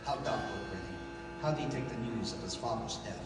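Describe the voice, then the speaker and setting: A debonair gentleman lawyer from an old radio adventure, acted by a man, formal and precise in speech. The voice is slightly distant and echoes heavily in a large hall. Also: mid-range voice, fairly quick, pitched below the performer's own voice, close to an everyday voice. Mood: grave, concerned. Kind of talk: 1930s radio drama acting.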